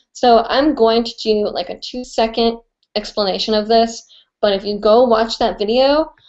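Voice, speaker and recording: A woman's voice: medium pitch, muffled recording. Chatty young woman with stuffy nasal voice. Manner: chatty, rambling, with many fillers. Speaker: hooping instructor answering viewer questions. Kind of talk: casual live-stream Q&A.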